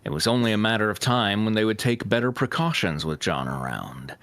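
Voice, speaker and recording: A man's voice: fairly low pitch, animated, fairly quick, fairly bright tone, no echo. A man reading fanfiction aloud as a host, breaking off for joking banter.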